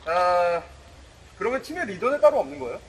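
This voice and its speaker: A man hosting a casual interview, bubbly and quick to laugh, with lots of exclamations. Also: sits high, very animated. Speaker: show host. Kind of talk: lively interview chat.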